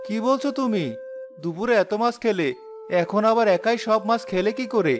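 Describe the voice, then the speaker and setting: A man's voice: high voice, normal speed, music in the background, close to an everyday voice. A man voicing a mild, puzzled and suspicious village husband in a Bengali cartoon story. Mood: questioning. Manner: questioning, wondering aloud.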